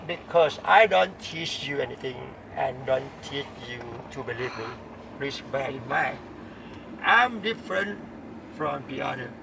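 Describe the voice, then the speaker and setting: A man from Thailand speaking English with a Thai accent, giving an earnest, deliberate Dharma talk and repeating his key points. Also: mid-range voice, lively intonation, creaky, recorded from a distance, noisy background. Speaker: Buddhist Dharma teacher.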